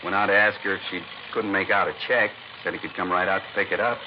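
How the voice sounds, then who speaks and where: An actor playing a chatty, obliging American pool builder in a 1950s radio drama, with an informal, folksy manner. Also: male voice, sits low, fairly even intonation, fast, close to an everyday voice. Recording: dull old radio, loud engine noise.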